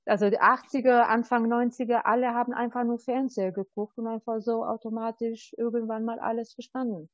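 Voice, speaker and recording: A woman's voice: a little high, level tone, fairly quick, few pauses, no room sound, muffled recording. A woman in her thirties from Albania with an Albanian accent, speaking as an interview guest, often hesitating with fillers and restarts. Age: 30s. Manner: hesitant, many ums and restarts. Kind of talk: conversational interview.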